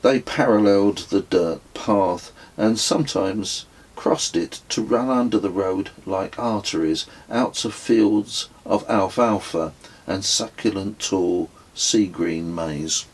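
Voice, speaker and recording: A man reading his own travel memoir as an audiobook, with a husky voice and measured, deliberate storytelling. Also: low-pitched, poor audio. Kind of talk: scripted audiobook narration.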